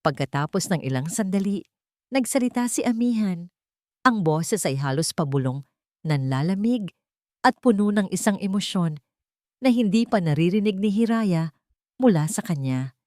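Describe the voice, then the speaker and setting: A woman narrating an audio drama in a measured, dramatic storytelling manner. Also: medium pitch, expressive, dark tone, no room sound, studio quality.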